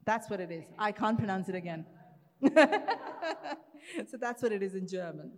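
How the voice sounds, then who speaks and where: A woman's voice: mid-range voice, moderate pace, darkish tone. A woman moderating a live panel, introducing guests and asking questions in an upbeat way. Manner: upbeat, laughs at her own stumbles. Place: live event hall with audience. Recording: reverberant hall.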